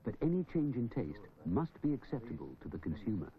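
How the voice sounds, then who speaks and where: A man's voice: medium pitch, big pitch swings, fairly quick. A man presenting a scripted corporate film in the manner of a narrator. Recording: dull old film soundtrack, music.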